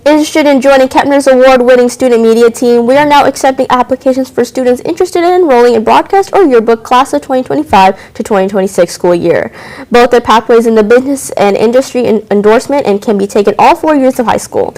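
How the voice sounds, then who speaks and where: A teenage girl anchoring a school news show, reading announcements briskly with occasional stumbles. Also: fairly high pitch, a little mellow, rough recording. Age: teens.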